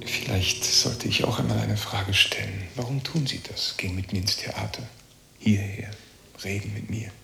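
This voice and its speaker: A man's voice: lowish voice, normal speed, creaky, pushed and bright, roomy sound, soft background music, exaggerated voice. An actor playing a guarded, wistful East German man, speaking hesitantly and tersely in a radio drama. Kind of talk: intimate radio drama dialogue.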